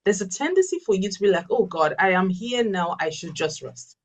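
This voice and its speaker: A woman's voice: medium pitch, big pitch swings, fairly quick, recorded from a distance. A Yoruba-speaking woman, a life coach answering webinar questions earnestly and emphatically, often repeating her key phrases. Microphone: video call.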